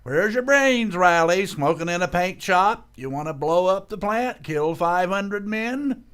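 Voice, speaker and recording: A man's voice: sits high, expressive, unhurried, fairly bright tone, clean tone. A man playing a grumbling, put-upon working-class husband and father in an old-time radio comedy, with blustering, plain working-man speech. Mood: indignant, griping.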